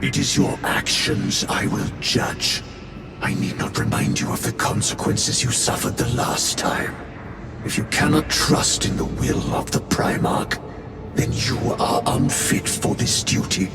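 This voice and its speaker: An actor playing a severe, judgmental warrior chaplain in a video game, speaking in a solemn, formal, admonishing way. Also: male voice, a little slow, music in the background, close to an everyday voice. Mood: stern warning. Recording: roomy, distant, heavy rumbling noise.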